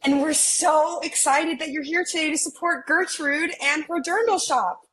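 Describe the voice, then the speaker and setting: An actress playing a determined, hopeful millennial twin sister in a romcom script read. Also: female voice, high-pitched, measured pace, a bit bright, rough recording. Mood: earnest.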